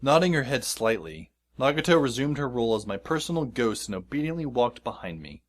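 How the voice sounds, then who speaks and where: Wry, expressive teenage narrator played by a man. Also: mid-range voice, fairly quick. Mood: dry. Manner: dry, sardonic. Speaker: narrator and lead actor in a fan audio drama. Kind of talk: scripted first-person narration.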